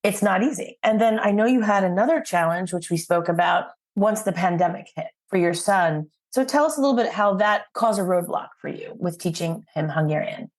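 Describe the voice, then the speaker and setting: A woman's voice: mid-range voice, a little fast, hardly any pauses. A woman hosting a podcast, speaking conversationally, affirming what her guest says and summing up before she asks questions. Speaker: podcast host, interviewer. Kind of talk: conversational interviewing.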